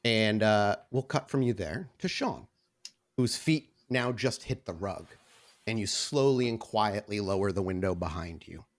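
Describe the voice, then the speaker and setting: A man's voice: fairly low pitch, expressive, unhurried. A man working as game master, narrating a tabletop roleplaying game. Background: background music.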